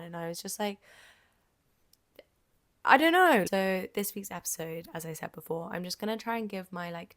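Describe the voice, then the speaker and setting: A young woman from Jersey with a slightly croaky voice, hosting her podcast in a candid, chatty way with frequent ums and likes and casual swearing. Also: lowish voice, dry recording. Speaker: podcast host. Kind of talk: casual solo podcast monologue.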